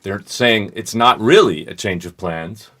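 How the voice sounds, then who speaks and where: Very deep-voiced man explaining. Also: a bit bright. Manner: measured, explanatory, thinks aloud. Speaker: podcast co-host. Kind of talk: casual analytical discussion.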